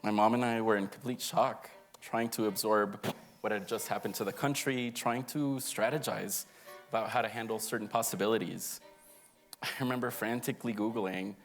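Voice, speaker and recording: Gravelly low-voiced young man telling his story. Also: steady tone, moderate pace, soft background music. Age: young adult. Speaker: monologist. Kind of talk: autobiographical monologue read aloud on stage.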